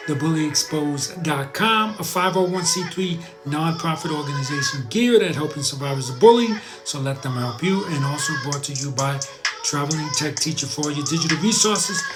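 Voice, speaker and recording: A man hosting a casual livestream, chatting informally to viewers and rambling as he repeats his advice for emphasis. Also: on the high side. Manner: informal, addresses viewers as guys. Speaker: live show host. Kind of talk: casual livestream chat, reading viewer comments.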